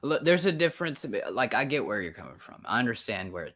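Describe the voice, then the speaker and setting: A man's voice: mid-range voice, big pitch swings, fairly quick, fairly bright tone. A man in his thirties in a casual voice chat, rambling and frank, swearing often. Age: 30s.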